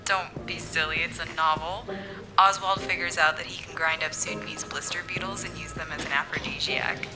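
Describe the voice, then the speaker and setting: A woman's voice: sits low, steady tone, moderate pace, lightly acted. An actress playing a bookish young woman, dry and teasing, in a naturalistic radio drama. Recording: music under the dialogue.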